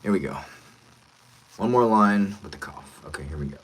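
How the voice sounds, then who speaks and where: A man who is a voice actor, chatting casually with livestream viewers in an animated manner. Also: lowish voice, normal speed, slight background noise. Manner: animated, reads out viewer comments. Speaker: voice actor, streamer.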